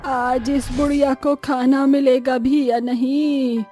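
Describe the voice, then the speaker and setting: Cartoon family drama: female actor as nagging, cruel elderly mother-in-law, highish voice, taunting delivery, music underneath. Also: little pitch movement, takes it slowly, dark tone. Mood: bitter, scolding. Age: older. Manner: harsh, taunting, scolding.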